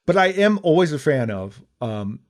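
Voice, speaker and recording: A man co-hosting a podcast, chatting casually about a movie, animated, laughing often and acting out quotes. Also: on the high side, normal speed. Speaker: podcast co-host. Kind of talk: casual chat reviewing a movie.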